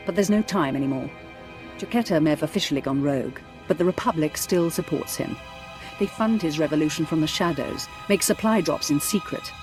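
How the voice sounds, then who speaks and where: A woman playing a stern, calculating governor in a video game, speaking formally and with clipped command. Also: low voice. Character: stern, calculating Imperial governor briefing an agent. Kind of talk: video game voice acting, briefing. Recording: loud music under the voice.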